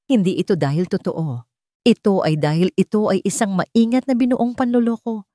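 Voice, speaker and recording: Stern mother in an audio drama played by a female narrator: commanding, emphatic, mellow tone, close dry sound. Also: mid-range voice, normal speed, dry recording, lightly acted. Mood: grave, emphatic. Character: stern, fiercely protective mother of a grown son.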